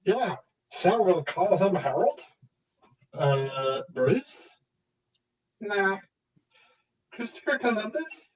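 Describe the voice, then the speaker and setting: A woman's voice: medium pitch, big pitch swings, extremely slow, pauses often, a little mellow, lo-fi sound. A woman playing an eager schoolchild in a live table read of a holiday cartoon. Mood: pondering. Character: eager schoolchild building a snowman. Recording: voice distant from the mic.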